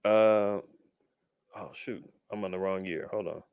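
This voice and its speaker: A man teaching scripture informally as a podcast host, with conversational streetwise slang and animated asides. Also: deep voice, unhurried, creaky, clean tone, no echo, highs cut off.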